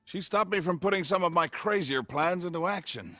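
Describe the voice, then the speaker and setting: An actor playing a gentle, grieving older scientist in a video game cutscene, reflective and earnest. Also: male voice, high voice, expressive, a little fast, dry recording, studio quality, full character voice. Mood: wistful. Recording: music behind the voice.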